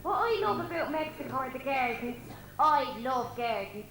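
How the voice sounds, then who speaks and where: Cheeky pantomime lady played by a woman, bright and projected, echoing, slightly noisy stage. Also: high-pitched, big pitch swings, moderate pace, exaggerated voice. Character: cheeky Irish lady trading gags with a king. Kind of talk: comic pantomime stage acting. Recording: echoey noisy amateur stage recording.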